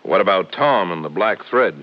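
A man's voice: sits low, animated, moderate pace. An actor playing a plainspoken Texas lawman with a folksy, inquisitive manner in a 1950s radio crime drama. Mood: curious. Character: plainspoken male Texas county lawman, questioning sidekick. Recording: muffled, hissy 1950s radio recording.

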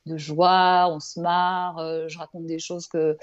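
A woman's voice: deep voice, flat delivery, takes it slowly, clear, resonant tone, lo-fi sound. A woman from Belgium chatting as an interview guest in an enthusiastic, emphatic, rambling way about her ideas, in a casual motivational style. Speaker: interview guest, author of a self-help book.

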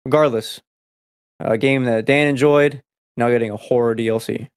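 A man who grew up speaking Spanish first, hosting a casual podcast and rattling off news items in quick succession. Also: medium pitch, fairly even intonation, clear, resonant tone, no room sound, clean studio sound. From United States. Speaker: podcast host.

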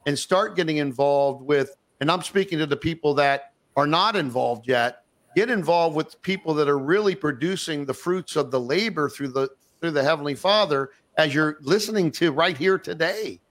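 A man's voice: on the high side, a bit bright. A man hosting an online talk show, speaking with enthusiasm and rambling through many fillers and restarts. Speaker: podcast host. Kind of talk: casual online show chat.

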